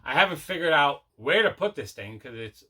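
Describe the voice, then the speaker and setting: A man's voice: on the high side, sing-song, fairly quick, sharp tone, husky. A man hosting a casual unboxing vlog, chatting enthusiastically and often repeating himself. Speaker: host of a collecting video show.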